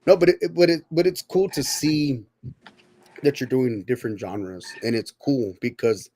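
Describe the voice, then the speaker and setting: A man's voice: medium pitch, a little slow, mellow, a little hiss or hum. A man in his thirties hosting a casual podcast chat, rambling and often repeating his words. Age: 30s. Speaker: podcast host.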